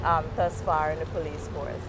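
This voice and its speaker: A woman's voice: sits low, flat delivery, moderate pace. A woman with a Guyanese accent hosting a street interview, chatty and encouraging with her guest. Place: outdoors by a street junction. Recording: outdoor street noise.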